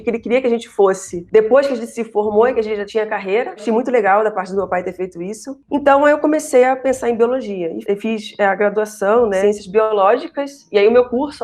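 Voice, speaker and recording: A middle-aged woman from Rio de Janeiro with a Carioca accent mixed with other regional accents, a professor telling her career story in a relaxed podcast interview, full of tag questions and wry asides. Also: on the high side. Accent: Carioca Brazilian Portuguese, mixed with other regional Brazilian accents. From Rio de Janeiro, Brazil. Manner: chatty, frequent tag questions, self-deprecating asides. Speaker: professor and researcher guest.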